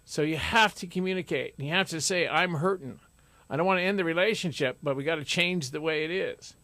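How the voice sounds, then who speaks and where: A middle-aged man hosting a radio talk show in a studio, talking loudly and forcefully with jokes. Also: a little high, fairly quick, dry recording. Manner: loud, forceful, joking.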